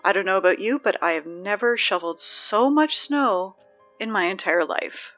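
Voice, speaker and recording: A woman playing an anxious, wry high school girl reading morning announcements in an audio drama, rambling with sardonic, hesitant asides. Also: moderate pace, fairly bright tone, highs cut off, faint music behind, natural voice. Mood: upbeat, weary.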